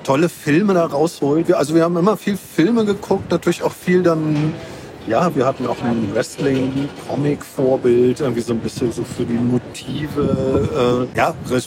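Man, average pitch, comic creator interviewee, casual, colloquial, explanatory, clearly noisy city-like surroundings. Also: normal speed.

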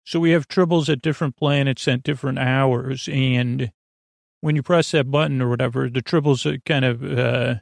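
A man hosting a podcast in a whimsical, meandering monologue, halting and often repeating or correcting himself, with a creaky, gravelly voice. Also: medium pitch, steady tone, no echo, studio quality. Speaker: podcast host. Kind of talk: rambling, whimsical bedtime monologue.

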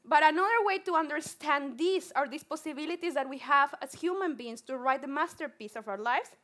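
Colombian woman psychologist, high and bright voice, earnest talk, restarts words. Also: brisk. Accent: Colombian Spanish. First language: Spanish. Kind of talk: public talk to a live audience.

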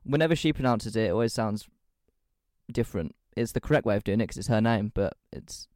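A man's voice: fairly low pitch, no echo. Young English man, dry close sound. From England. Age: 20s. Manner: animated, swearing, quick asides. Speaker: podcast co-host. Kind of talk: casual chat.

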